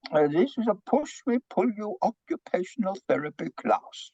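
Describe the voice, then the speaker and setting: A man playing an excitable, easily overwhelmed psychiatrist in a comic play, stammering dramatically in broken English. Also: high-pitched, moderate pace, husky, dull audio, heavily acted. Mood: flustered. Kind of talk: comic stage play reading.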